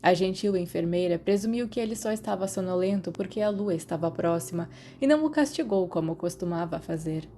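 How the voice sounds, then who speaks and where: A woman's voice: on the low side, a little fast, few pauses, mellow, slight background noise. A woman with a Brazilian accent reading scripted fiction as an audiobook narrator. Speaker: podfic narrator.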